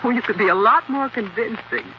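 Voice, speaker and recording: An actress plays a spirited woman helping a detective in a radio play. Her high voice is animated, teasing and curious. The old recording is muffled and noisy. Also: a little fast, lightly acted. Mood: playful. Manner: teasing, inquisitive. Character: spirited, wry female companion of a sleuth. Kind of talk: 1940s radio acting.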